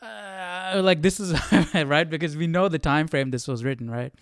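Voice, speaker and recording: A man's voice: fairly high pitch, moderate pace, clean tone, dry recording, studio quality. A man hosting a Bible study, informally thinking aloud and reading passages.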